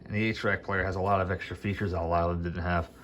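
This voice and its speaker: A man's voice: sits low, flat delivery, very fast, a bit bright, slight background noise. A man giving a casual, unscripted demo as a hi-fi hobbyist, rambling along in an informal, enthusiastic way. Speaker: vintage hi-fi hobbyist demonstrating gear.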